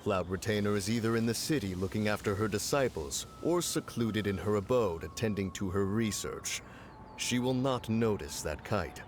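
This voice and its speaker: A man playing a calm, scholarly immortal in a video game, speaking in formal, pompous and musing phrases. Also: fairly low pitch, slight background noise, natural voice. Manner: pompous, formal, musing, refers to himself as one. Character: calm, scholarly, self-assured male immortal adeptus. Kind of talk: video game cutscene acting.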